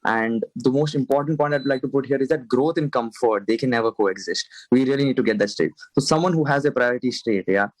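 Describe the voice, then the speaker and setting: A man's voice: medium pitch, fast, hardly any pauses, no room sound, low-quality recording. A young man from India on a panel, speaking earnestly with many fillers. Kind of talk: casual panel talk.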